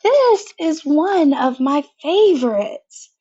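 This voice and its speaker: A woman's voice: high voice, sing-song, takes it slowly, dark tone, dull audio, voice pushed up, full character voice. A woman voicing a sweet little girl in a storybook, earnest and encouraging. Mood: admiring, encouraging.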